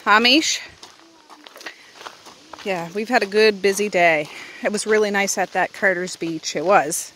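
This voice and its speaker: A woman's voice: medium pitch, a little slow, pauses often, fairly bright tone, a little hiss or hum. A woman in her thirties from Nova Scotia, chatting to her camera and calling out to her kids on an outdoor walk. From Nova Scotia, Canada. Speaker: vlogger and mother. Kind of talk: casual family vlog while walking. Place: outdoors on a windy beach and forest trail. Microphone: handheld camera at arm's length.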